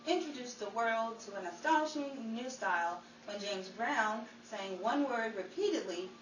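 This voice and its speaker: A woman's voice: a little high, lively intonation, moderate pace. A woman hosting with scripted, nostalgic old-time radio narration in a theatrical announcer manner. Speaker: narrator, host. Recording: roomy, distant live recording with audience, noise.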